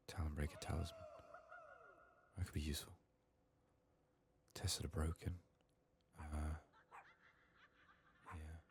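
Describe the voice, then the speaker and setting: Man, bass-deep voice, soft and hushed, ASMR game playthrough, low-key self-talk, slight noise behind. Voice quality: soft, hushed, breathy in whispers. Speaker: gaming streamer.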